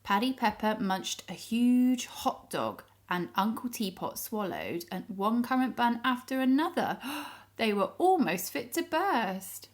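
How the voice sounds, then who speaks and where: A woman reading a story aloud to young children in an expressive storytelling style. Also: on the high side. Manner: pauses to ask the child listeners questions.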